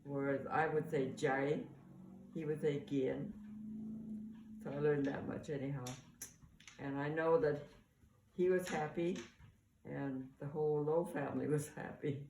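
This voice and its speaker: A woman's voice: low voice, fairly even intonation, unhurried, long pauses. An older woman shares family memories in a casual, conversational way, often restarting as she recalls details. She is recorded at a distance in an echoey room, with faint noise behind her. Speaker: mother sharing family memories. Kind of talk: casual oral-history reminiscence.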